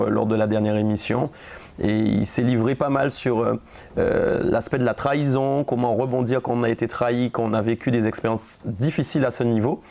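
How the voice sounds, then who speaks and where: Man, talk-show host, gravelly voice, mid pitch, conversational with fillers. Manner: warm conversational, frequent fillers and restarts. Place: studio set.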